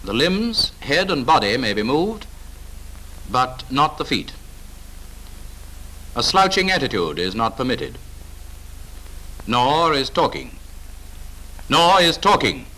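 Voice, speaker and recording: A man's voice: medium pitch, very animated, slow, long pauses, sharp tone, poor audio. A British man narrating a 1940s instructional film in a clipped, authoritative, instructive manner, on an old film soundtrack.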